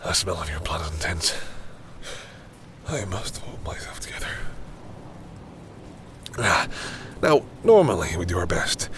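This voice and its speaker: A deep male vampire , acted by a man, speaks intimately to his prey in an audio drama. He is condescending and sure of himself, slipping between cool commands and breathy musing. A low rumbling noise sits behind the voice. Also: very animated, measured pace, long pauses, exaggerated voice. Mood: hungry, taunting. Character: confident male vampire predator, smug and coolly menacing. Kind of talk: intimate audio roleplay, acted monologue to the listener.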